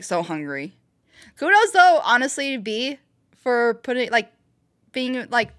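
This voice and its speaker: Woman, high voice, very bright tone, casual reaction host, rambling analysis, exclamatory. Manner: rambling, thinking aloud, exclaiming.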